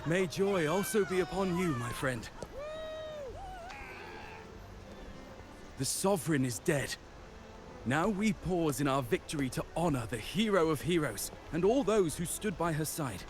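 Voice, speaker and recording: A man's voice: on the high side, animated, moderate pace, background noise, natural voice. A man playing a genial, formal master of ceremonies in a fantasy game, speaking in a ceremonious, reassuring way. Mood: solemn, celebratory. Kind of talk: scripted fantasy game dialogue. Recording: music and outdoor ambience behind.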